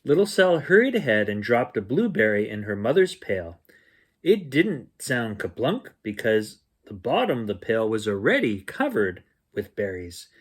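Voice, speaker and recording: Husky, animated man reading a bedtime story. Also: on the low side, normal speed. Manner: expressive, acts out sounds and dialogue. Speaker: storyteller reading a children's picture book.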